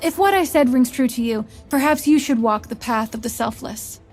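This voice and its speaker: A woman playing a solemn, commanding visionary mentor in a fantasy game, speaking in a measured, portentous, rhetorical way. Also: fairly high pitch, darkish tone, faint music behind, close to an everyday voice. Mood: solemn, persuasive. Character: solemn, commanding female visionary mentor recruiting chosen leaders. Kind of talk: scripted fantasy game voice lines.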